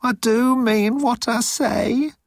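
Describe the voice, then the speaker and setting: A man's voice: high-pitched, very animated, slow, vocal fry, slightly rough, no echo, studio quality, pitched above the performer's own voice, full character voice. A man voicing a timid young alien crewman, anxious and miserable, in an audiobook story. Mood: pleading. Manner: miserable, anxious, blurting protests.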